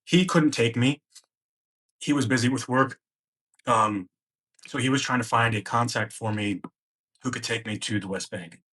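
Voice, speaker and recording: A man's voice: medium pitch, a bit bright, breathy edge. A man, a podcast guest, recounting a personal travel story in present tense and acting out the dialogue he remembers. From United States.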